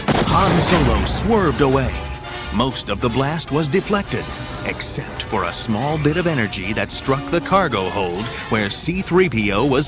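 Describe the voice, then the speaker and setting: A man narrating an adventure audio drama in a scripted, dramatic storytelling style. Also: medium pitch. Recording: music behind the narration.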